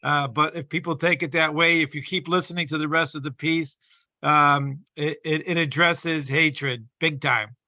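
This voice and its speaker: A middle-aged man talking casually and earnestly as an open mic poet, rambling and halting as he explains himself. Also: fairly high pitch, fairly even intonation, bright, forceful tone, no echo. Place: online video call. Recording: dull lo-fi call audio.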